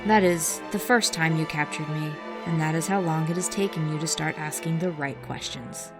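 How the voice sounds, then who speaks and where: A woman's voice: sits low, normal speed. A woman playing a cunning, mocking imprisoned cult leader in an audio drama, speaking precisely and theatrically. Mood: cold, ominous. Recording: music behind the voice.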